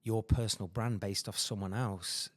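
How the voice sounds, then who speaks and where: Low-voiced male podcast host, dry sound. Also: fairly even intonation, no room sound. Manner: quick, emphatic, many fillers and restarts. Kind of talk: casual interview chat.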